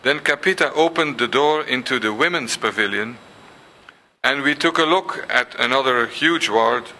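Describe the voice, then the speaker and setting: An older man whose first language is Dutch gives a symposium lecture, pausing often with ums and restarts and reading passages aloud. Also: medium pitch, pushed and bright, slight background noise, low-quality recording. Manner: hesitant, many ums and restarts. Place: lecture hall.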